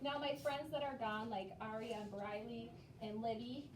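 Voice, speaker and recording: A high-pitched woman teacher, recorded at a distance in a reverberant room. She gives young children simple, patient instructions and often checks in with short questions. Some room noise sits behind her. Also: moderate pace. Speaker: elementary school teacher. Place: elementary school classroom, indoors. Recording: echoey noisy classroom, far from mic.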